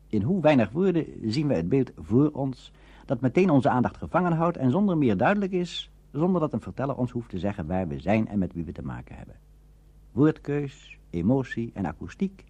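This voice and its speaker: A man's voice: mid-range voice, warm tone, slightly rough, no echo, dull audio. A man narrating a radio documentary from a script, as its presenter.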